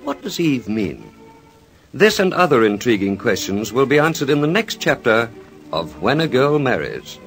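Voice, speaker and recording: A man's voice: medium pitch, very animated, moderate pace, a bit bright. A man narrating an old radio soap opera as a dramatic announcer, with a put-on American accent. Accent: American, put on by an Australian. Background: music.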